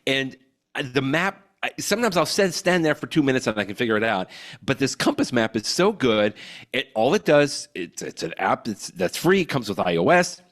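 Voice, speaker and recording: A man's voice: mid-range voice, big pitch swings, normal speed, a bit bright. An American man co-hosting a tech podcast, explaining tips in a casual, enthusiastic way. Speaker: podcast co-host. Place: hotel room.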